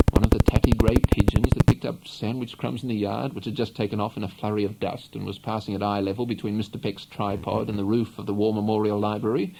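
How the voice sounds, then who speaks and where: A man reading literary first-person narration as an audiobook, in an expressive storytelling manner. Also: on the low side, a little hiss or hum, poor audio. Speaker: audiobook reader.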